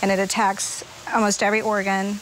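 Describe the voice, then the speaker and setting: A woman's voice: medium pitch, little pitch movement, a little fast, fairly bright tone, a little hiss or hum, rough recording. A woman giving a personal account as an interviewee in a news story. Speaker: interviewee, patient's mother.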